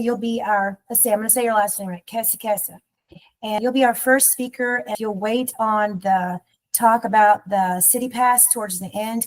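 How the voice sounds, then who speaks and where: A woman runs an online community meeting over a video call. She introduces guests in a loose, chatty way, often restarting her sentences, at a middling pitch. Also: low-quality recording. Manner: warm, informal, rambling with restarts. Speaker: nonprofit staff host of a community webinar.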